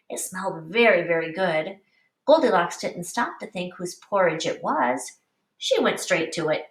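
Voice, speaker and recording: A woman teacher reading a picture book aloud to young children in an expressive, instructive way, pausing to explain and addressing them directly. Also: on the low side. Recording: roomy, echoing, distant microphone.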